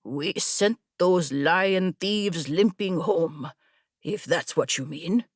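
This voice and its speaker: A female narrator acts out a weathered old male rider from an audiobook tale. His voice is creaky and unhurried, with a wry, gruff manner, in a very dry sound. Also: on the low side, dry recording. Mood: wry, weary. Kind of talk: audiobook character dialogue.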